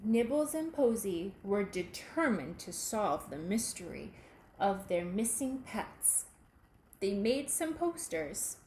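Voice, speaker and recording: A woman reading a children's picture book aloud, in a deliberate, expressive way aimed at young listeners. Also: medium pitch. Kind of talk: children's storybook read-aloud. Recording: echoey room with faint background noise.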